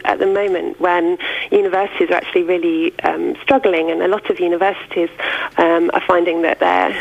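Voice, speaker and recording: Female researcher, gravelly voice, halting with lots of ums, muffled line. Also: mid-range voice. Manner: hesitant, many ums and restarts. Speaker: policy researcher. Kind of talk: radio interview clip.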